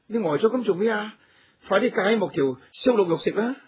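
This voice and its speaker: A tender, solicitous hunter and new husband in a radio drama. His Hong Kong Cantonese voice is extremely husky and a little high for a man, earnest and caring. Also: animated, brisk, a little mellow, full character voice. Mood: caring, coaxing.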